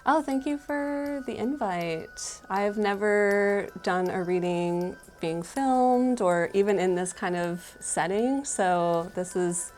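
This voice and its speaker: Female tarot reader, middle-pitched and unhurried, casual spiritual delivery, gentle tentative explanations. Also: faint music behind. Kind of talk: casual spiritual card reading.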